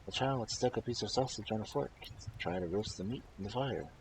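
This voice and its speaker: A man reading folktales aloud as host, in an expressive way with dramatic pauses and casual asides. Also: lowish voice, a little hiss or hum. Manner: animated, dramatic pauses and emphasis. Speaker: storyteller and host of a live reading stream.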